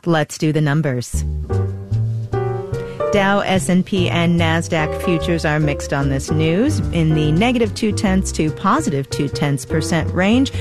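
A woman anchoring a radio business news show, reading the news and interviewing a guest live in a crisp newsreader manner. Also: lowish voice. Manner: crisp, lively newsreader delivery. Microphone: studio microphone.

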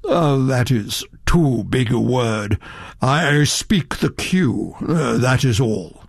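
Guy playing a calm mysterious stranger, slow soft measured talk, dry close sound. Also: mid-range voice, vocal fry, breathy edge, dry recording, full character voice. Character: tall dark enigmatic man, calm and mysterious.

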